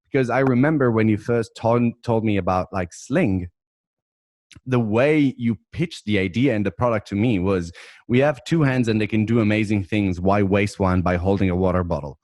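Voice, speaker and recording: Man, italian native, low voice, dry sound, enthusiastic, casual chat. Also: normal speed, darkish tone, no echo. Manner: enthusiastic, laughs often. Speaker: podcast host.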